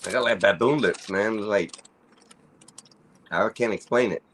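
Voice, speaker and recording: A man with a low, groaning voice and a Spanish-influenced accent, chatting casually and swearing a lot. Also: unhurried, a bit bright, breathy edge. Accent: Spanish-influenced English. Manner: laid-back, swears a lot. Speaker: stream guest. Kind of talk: casual crude banter.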